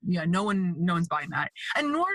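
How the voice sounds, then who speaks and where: A woman's voice: on the low side, big pitch swings, normal speed, a bit bright, dry recording. A woman from Canada with a Canadian accent, chatting casually as an interview guest. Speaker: guest, mixed media artist.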